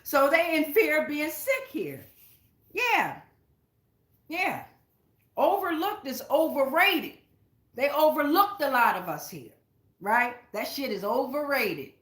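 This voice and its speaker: A woman's voice: high voice, very animated, takes it slowly, long pauses, fairly bright tone. Emphatic woman reading tarot in an echoey room. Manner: emphatic, blunt, freely swearing, addressing viewers directly. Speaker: tarot reader, channel host. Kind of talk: casual spiritual tarot reading to camera. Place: indoors at home.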